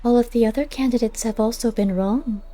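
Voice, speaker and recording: A woman's voice: fairly high pitch, brisk, dark tone, pitched above the performer's own voice, lightly acted. A woman voicing a wry, curious female cat in a storybook reading, dryly questioning and skeptical. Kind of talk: audiobook character voice.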